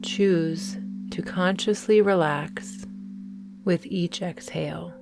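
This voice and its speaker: A woman leads a guided meditation in a gentle, fairly low voice. She speaks very slowly and deliberately, leaving space between phrases. Clearly audible soft music plays behind her. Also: fairly even intonation. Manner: gentle, soothing, deliberate phrasing with long pauses.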